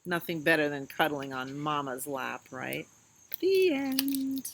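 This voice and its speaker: A woman hosting a children's storytime, reading aloud in an expressive, sing-song way and talking directly to young listeners. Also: sits low, takes it slowly, creaky, a little hiss or hum. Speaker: children's librarian, storytime host.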